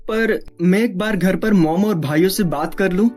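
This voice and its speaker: A woman playing an earnest, reassuring young Indian man in an animated family melodrama, with a placating way of talking. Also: medium pitch, normal speed, faint music behind, close to an everyday voice. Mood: agreeable, hesitant.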